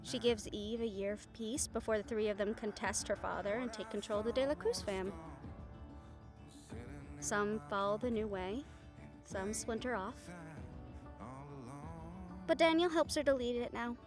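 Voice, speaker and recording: Woman performing a fond woman writer, audio roleplay storytelling, intimate delivery, raised pitch, background music. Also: moderate pace, long pauses, natural voice. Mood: fond, wistful. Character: woman writer fondly recounting her characters' happy endings. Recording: music playing behind the voice.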